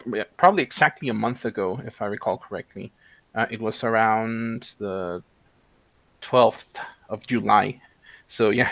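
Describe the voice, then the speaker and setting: A man's voice: lowish voice, vocal fry, a bit bright, dry recording. A man in his thirties from Mexico, a guest in a casual interview, talking with many fillers and restarts. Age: 30s.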